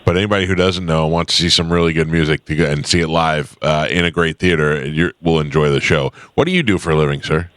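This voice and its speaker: Low-voiced radio guy joking around, dry studio mic. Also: steady tone, fast, few pauses, a bit bright, dry recording. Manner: joking, storytelling. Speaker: radio show host. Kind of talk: casual morning radio banter. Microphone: studio microphone.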